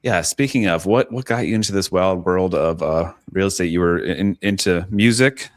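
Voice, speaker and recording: A man's voice: low-pitched, normal speed, no echo, studio quality. A man whose native language is Swiss German, hosting a podcast interview with a casual manner and plenty of fillers. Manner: casual, filler-heavy, friendly questions. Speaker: podcast host. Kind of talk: interview conversation.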